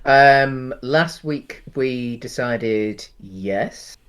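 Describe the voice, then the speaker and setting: A man with a medium-pitched voice co-hosting a film review podcast. He talks casually and meanders, hedging his opinions as he feels his way through each point. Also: very slow, fairly bright tone, clean tone. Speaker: podcast co-host.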